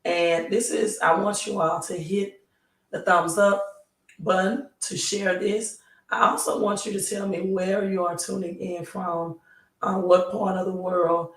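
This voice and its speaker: Hoarse woman streaming, slow and roomy. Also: fairly low pitch, little pitch movement. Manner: slow, halting, repeats "right?". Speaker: live stream host. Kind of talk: casual live stream talk. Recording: roomy, distant mic.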